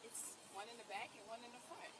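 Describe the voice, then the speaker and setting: A woman's voice: a little high, fairly even intonation, quick pace. A woman at a traffic stop, talking back in an evasive, insistent, argumentative way from inside her car. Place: in a car at the roadside. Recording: loud roadside noise, bodycam clip.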